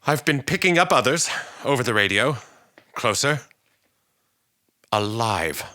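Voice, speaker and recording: A man playing a weary but resolute invasion survivor, speaking haltingly in a radio drama monologue. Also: mid-range voice, expressive, pushed and bright. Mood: reflective. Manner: halting, pausing, deliberate.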